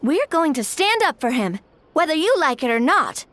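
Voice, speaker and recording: A calm, resolute young leader. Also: female voice, high-pitched, moderate pace, slight background noise. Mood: firm. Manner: calm, firm, explanatory. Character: composed young woman leading a mediating group, resolute. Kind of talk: game voice acting.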